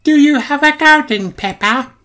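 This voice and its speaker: Guy doing a tiny squeaky animal girl for a kids story, super high, keen, music behind. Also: normal speed, very noisy. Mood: eager. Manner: squeaky, exclaiming. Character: keen little girl cartoon playmates, mouse and pandas. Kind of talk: children's storybook character voice.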